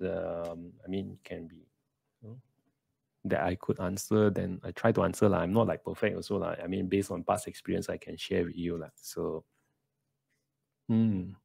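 A man's voice: fairly low pitch, vocal fry, a little mellow, breathy edge, no echo. A man in his thirties with a Malaysian English accent, hosting a casual live question-and-answer stream, chatty and dropping in local slang. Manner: chatty, sprinkles lah and Malay words. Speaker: livestream host. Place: small room indoors. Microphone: USB studio mic on a stand, close.

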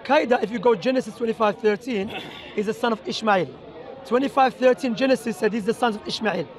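High-pitched man preaching in a park crowd. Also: a little fast. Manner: insistent, repeats words, reads scripture aloud. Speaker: street preacher debating a passer-by. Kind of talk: street debate. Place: outdoors in a busy city park. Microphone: handheld camera close by. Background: crowd chatter.

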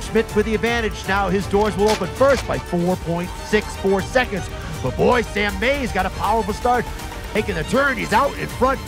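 High-voiced male ski race commentator. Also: vocal fry, over music. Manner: quick play-by-play calling. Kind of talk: sports commentary.

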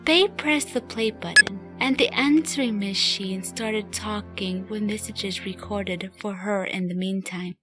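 A woman's voice: mid-range voice, measured pace, hardly any pauses. A woman narrating a scripted radio play story as its storyteller. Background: music.

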